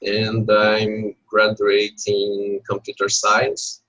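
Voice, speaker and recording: Slow, husky Brazilian man on a call. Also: on the low side, completely flat delivery, bright, forceful tone. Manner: slow, searching for words, laughs lightly. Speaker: student, bank software developer. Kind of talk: casual self-introduction. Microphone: video call. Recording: dull lo-fi call audio.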